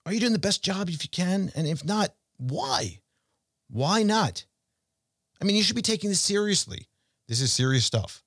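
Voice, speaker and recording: A man hosting a financial talk show, speaking conversationally and emphatically to listeners, with rhetorical questions and deliberate pauses. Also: high-pitched, very animated, fairly bright tone, no room sound, clean studio sound. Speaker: financial show host and portfolio manager. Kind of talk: conversational investment commentary addressed to listeners.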